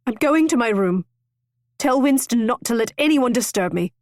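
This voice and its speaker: A woman reading the lines of an impulsive young lady, sharp-tongued and easily hurt. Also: fairly high pitch, expressive, moderate pace, no echo, studio quality, voice pushed up, close to an everyday voice. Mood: tearful. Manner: tart, tearful indignation.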